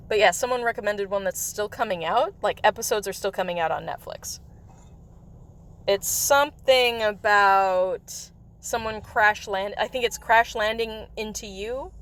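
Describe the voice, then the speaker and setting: A woman hosting a game livestream in casual, rambling chat, her voice slightly raspy from coughing. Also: mid-range voice, measured pace, a bit bright. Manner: rambling, chatty, with frequent laughs. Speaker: livestream host. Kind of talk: casual chat while playing a mobile game.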